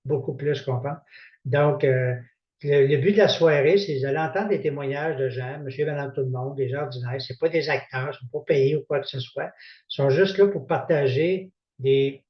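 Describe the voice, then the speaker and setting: A man with a Québécois accent hosting a testimonial evening, informal and repetitive, full of fillers. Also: a little high. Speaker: event host. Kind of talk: casual hosting of an online meeting. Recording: echoey, distant, dull sound.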